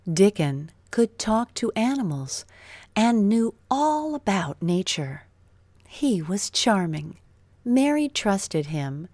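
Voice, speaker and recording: A woman narrating a scripted children's story in an expressive, deliberate storytelling manner. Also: mid-range voice, no echo.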